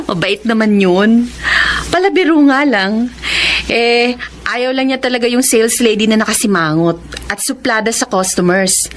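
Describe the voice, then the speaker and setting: Lively, high-voiced young Filipina friend acted by a woman. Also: measured pace. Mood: reassuring. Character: breezy, reassuring young saleslady friend, playful. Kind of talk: Filipino audio drama acting. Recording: faint background noise.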